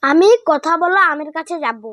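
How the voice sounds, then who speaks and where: High-pitched earnest boy voiced by a woman. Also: expressive, moderate pace, pushed and bright, dry recording, studio quality. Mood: earnest, polite. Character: earnest, devoted young village boy. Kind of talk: cartoon character voice.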